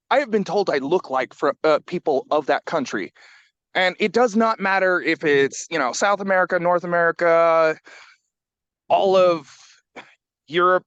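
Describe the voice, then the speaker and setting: A man in casual group discussion, talking bluntly and with dry humor, swearing freely. His voice sits slightly high and sounds dry and close. The recording is dull and muffled. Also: fairly bright tone, no echo. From California. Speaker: book club member.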